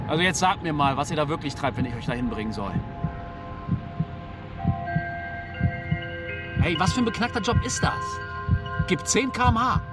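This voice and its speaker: A sardonic young driver with a high, lively voice that swings in pitch. He bargains stubbornly in slangy speech, a little off-mic inside a car with music behind. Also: quick pace, distant mic. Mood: suspicious, sardonic. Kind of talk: naturalistic radio drama dialogue.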